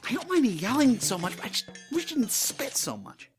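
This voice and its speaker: A man acting a hapless, hot-headed young farmer in a fan radio play, earnest and excitable. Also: very high-pitched, a little fast, soft background music. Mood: chatty.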